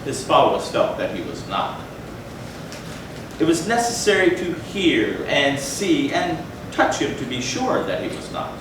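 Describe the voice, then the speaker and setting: A man delivers a gothic tale as a dramatic stage reading. His phrasing is measured and theatrical, with deliberate pauses. The recording is distant and heavily reverberant, with clear noise over it. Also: fairly high pitch, sing-song. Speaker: readers theatre performer. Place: large echoey room, live stage performance. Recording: echoey hall from far off, noisy.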